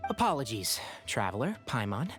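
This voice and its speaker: A man voicing a calm, knowledgeable young male ranger in a video game, explaining things in a measured way. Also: mid-range voice, faint music behind.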